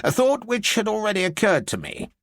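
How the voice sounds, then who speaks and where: A man reading an audiobook, voicing a blunt, irritable middle-aged British general in a gruff, brusque manner. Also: high voice, wildly swooping pitch, fairly quick, a bit bright, no room sound, voice pushed up, heavily acted.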